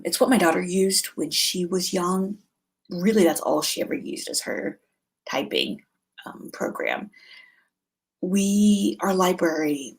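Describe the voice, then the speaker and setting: A middle-aged woman hosting a vlog, talking casually and conversationally, thinking aloud as she gives recommendations, with a slightly husky voice. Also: on the low side. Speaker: homeschool vlog host. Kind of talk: casual informal product recommendations to camera.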